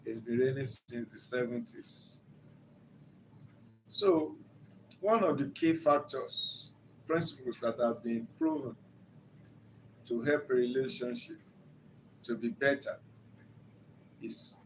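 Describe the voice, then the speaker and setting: A man's voice: mid-range voice, low-quality recording. An older Nigerian man speaks as a counselor, with Yoruba as his first language. His delivery is earnest and didactic, and he builds steadily toward emphatic points. His voice sits in an ordinary middle register, with a faint hiss underneath. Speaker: relationship counselor. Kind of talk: motivational teaching talk.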